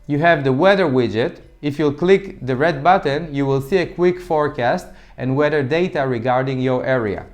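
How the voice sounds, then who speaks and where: A man's voice: mid-range voice, normal speed, few pauses. A man whose first language is Hebrew, reviewing a sports watch in a plain, methodical voice-over with occasional stumbles. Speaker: gear reviewer.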